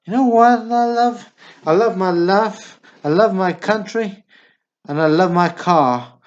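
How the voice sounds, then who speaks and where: Man acting an excitable, high-strung guy, dull recording. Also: slow pace. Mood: boastful, sentimental. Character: excitable, needy small-town Texan man, boastful and sentimental. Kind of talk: stage drama acting.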